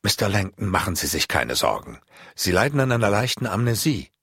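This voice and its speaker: Audiobook role: male narrator as calm woman doctor, soothing, pitched up, husky. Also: fairly quick, no echo, clean studio sound, full character voice.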